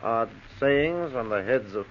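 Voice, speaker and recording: An actor playing a self-assured American schemer near sixty in an old radio crime drama, suave and sly. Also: male voice, mid-range voice, lively intonation, close to an everyday voice. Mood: smug, genial. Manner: suave, sly, unhurried explaining. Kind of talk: 1940s radio drama acting. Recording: dull, hissy vintage radio recording.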